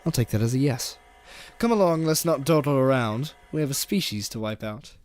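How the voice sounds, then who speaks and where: A man's voice: mid-range voice, lively intonation, fairly quick, close to an everyday voice. A man playing a cold, gloating alien villain who despises humanity, in menacing, speech-making audio drama. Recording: loud music behind the voice. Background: dramatic music.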